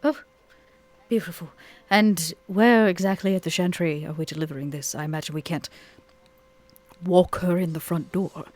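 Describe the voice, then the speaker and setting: A player acts out an elegant vampire lady for a tabletop horror podcast. The pitch is middling, and the delivery is refined, theatrical and commanding, with a taste for the macabre. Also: fairly even intonation, moderate pace, dry recording, lightly acted. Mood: planning, composed.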